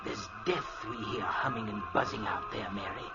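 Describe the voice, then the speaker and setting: An actor playing a frightened, obsessive professor in an old-time radio drama, with an urgent, fearful delivery. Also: male voice, on the low side, normal speed, music in the background, lightly acted. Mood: brooding dread. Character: frightened obsessive male professor convinced insects hunt him. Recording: muffled noisy vintage radio recording.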